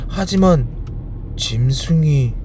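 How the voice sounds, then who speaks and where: A man's voice: on the high side, animated, slow pace, dark tone, slight background noise, voice pushed up. A man voicing a polite, earnest young boy prince who speaks in a hesitant, flustered way. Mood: timid, flustered.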